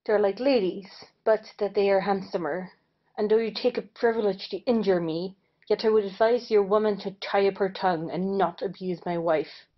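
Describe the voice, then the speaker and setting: A woman's voice: medium pitch, fairly even intonation, moderate pace, lo-fi sound, lightly acted. A woman playing a wounded, vengeful male parson in a period stage comedy, stiff and indignant.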